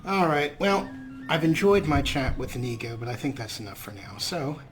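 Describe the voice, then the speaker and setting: A man hosts a gameplay video with casual, wry commentary. His pitch sits in an ordinary middle range, and clear game music plays behind him as he talks through what he is doing. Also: very animated, normal speed. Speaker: let's play host. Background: game music and character voices.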